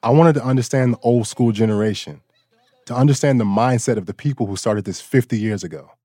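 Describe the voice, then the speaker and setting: A man hosting a news podcast, narrating a personal story in a relaxed, lightly joking way. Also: mid-range voice, fairly quick, no room sound, clean studio sound. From Bangkok, Thailand. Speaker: guest host and audio engineer.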